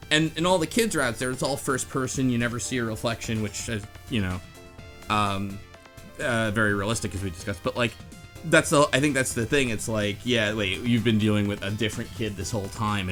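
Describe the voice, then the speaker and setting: Male podcast host, medium pitch, casual and rambling, talking through a story with music underneath. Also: a bit bright. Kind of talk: casual discussion of a game's story.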